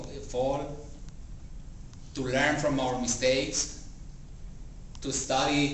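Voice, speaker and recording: A man's voice rings through a very echoey room, picked up from a distance over faint noise. He is a non-native English speaker giving a personal motivational speech. His delivery is halting, with deliberate pauses between phrases. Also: mid-range voice. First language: not English. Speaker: club member giving a practice speech. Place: indoor meeting room before a small audience. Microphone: distant camera or room microphone.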